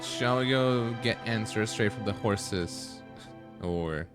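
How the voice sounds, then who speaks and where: A man's voice: on the low side, slow pace. A man streaming a video game, giving casual, fragmented live reactions as he plays. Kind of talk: casual live playthrough commentary. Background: loud game music and dialogue.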